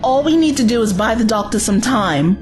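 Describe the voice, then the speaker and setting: Resolute young female companion, acted by a woman, wary and firm, animated pitch, audio drama over music. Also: a little fast, natural voice. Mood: urgent, firm. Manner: urgent, firm, skeptical. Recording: music under the voice.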